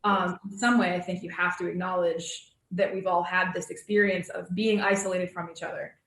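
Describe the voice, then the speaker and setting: A woman's voice: on the low side, level tone, a little fast, fairly bright tone. A woman moderating a casual panel discussion over a video call, thinking aloud in a hesitant way. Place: remote video call in an echoey room. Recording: roomy, echoey video call audio.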